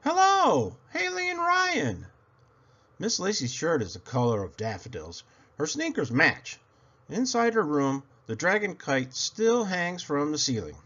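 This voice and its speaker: A man reading a children's picture book aloud as scripted narration, in an expressive storytelling manner. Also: fairly high pitch, normal speed, husky, lo-fi sound.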